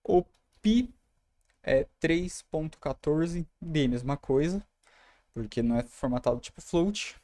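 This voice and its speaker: Young Brazilian man, relaxed tutor tone, warm and dry. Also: fairly high pitch, takes it slowly, no room sound. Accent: Brazilian Portuguese. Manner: casual, talks through code while typing. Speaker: programming tutor. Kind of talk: screen-recorded coding tutorial.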